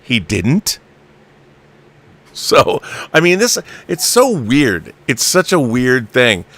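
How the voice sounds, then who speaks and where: A man's voice: medium pitch, sing-song, slow, fairly bright tone. A man hosting a chatty fan show. He is opinionated and emphatic, with a sardonic edge, and often slips into mock quotes to make his point. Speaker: talk show host reading viewer messages. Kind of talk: opinionated casual fan chat.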